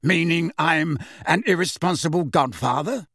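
A man's voice: sits high, big pitch swings, a little slow, gravelly, fairly bright tone, breathy edge, no echo, studio quality, pitched above the performer's own voice, heavily acted. A man playing an impatient, proud, defiant godfather in a storybook, speaking curtly. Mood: cold, angry. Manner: curt, impatient.

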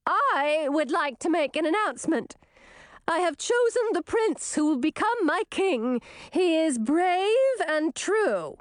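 A vain and jealous young princess in a fairy tale, performed by a woman reader. Her voice sits very high and leaps about with spluttering, theatrical indignation. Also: sing-song, slow, no room sound, clean studio sound, full character voice. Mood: haughty.

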